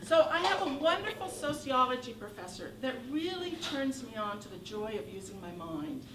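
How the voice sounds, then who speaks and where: A woman in her sixties from Northern California telling a wry, animated comic monologue on a theater stage. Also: on the high side, moderate pace, crowd noise. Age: 60s. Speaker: solo performer. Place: theater stage with live audience. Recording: echoey room from far off, noisy.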